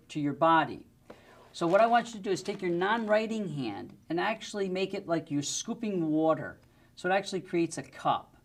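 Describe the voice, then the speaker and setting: Man teaching, lively intonation. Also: a little high. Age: middle-aged. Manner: methodical, explanatory, step-by-step. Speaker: music teacher. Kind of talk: instructional demonstration to camera. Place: indoor studio with plain backdrop. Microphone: lapel mic.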